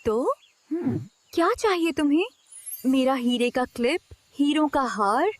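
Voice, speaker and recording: A woman voicing a spoiled, haughty young princess in an animated fairy tale, girlish and disdainful. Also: high voice, unhurried, slight background noise. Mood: coy, curious. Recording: music behind the voice.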